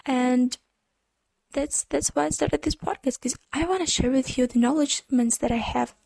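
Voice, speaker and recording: Ukrainian woman talking into her podcast mic from her room, soft dark voice, lots of fry, earnest. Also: high voice, normal speed, no echo. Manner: hesitant, many restarts, gentle and earnest. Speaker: podcast host. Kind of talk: casual solo podcast talk.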